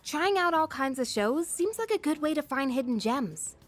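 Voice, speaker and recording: A woman voicing a friendly, earnest, slightly shy teenage schoolgirl in a video game, soft-hearted and reflective. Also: high-pitched, expressive, moderate pace. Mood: warm, admiring. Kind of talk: English video game voice acting. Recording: background music under the voice.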